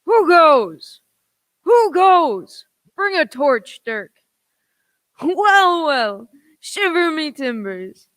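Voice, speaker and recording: A woman's voice: high voice, very animated, very slow, pauses often, a bit bright, no room sound, exaggerated voice. A woman acting a sly, commanding old male pirate leader in a radio play, with theatrical, piratical phrasing. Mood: startled, then gloating.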